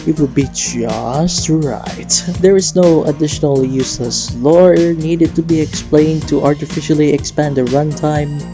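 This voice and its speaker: A man's voice: fairly high pitch, steady tone, a little slow, few pauses. Theatrical male game commentator over music. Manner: theatrical, playfully devoted fan talk. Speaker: video host and gaming reactor. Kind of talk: scripted commentary mixed with casual chat. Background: music behind the voice.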